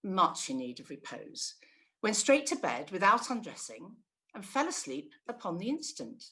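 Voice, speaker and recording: A woman's voice: medium pitch, sing-song, moderate pace, bright, forceful tone, low-quality recording. A woman narrating a classic story from a script in a dramatic storytelling style. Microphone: video call.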